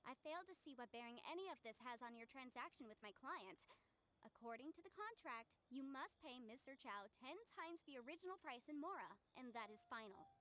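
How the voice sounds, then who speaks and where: A woman playing a brisk, assertive young female legal adviser in a video game. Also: sits high, lively intonation, fairly bright tone. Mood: firm, confident. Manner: assertive, formal, crisp phrasing.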